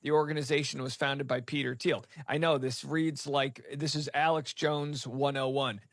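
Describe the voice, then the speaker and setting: A man's voice: medium pitch, flat delivery, moderate pace, a bit bright, dry recording, studio quality. A man giving news explainer commentary in short, punchy phrases with a dramatic, tongue-in-cheek manner.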